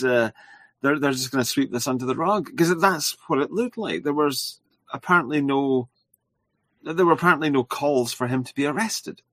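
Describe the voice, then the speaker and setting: A man hosting a casual podcast discussion, relaxed and hesitant, often pausing on filler sounds. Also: medium pitch, no echo. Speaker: podcast host.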